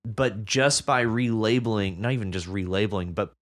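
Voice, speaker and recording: A man's voice: on the low side, moderate pace, a bit bright. A man co-hosting a podcast, giving casual, enthusiastic commentary on a story and swearing at times. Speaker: podcast co-host.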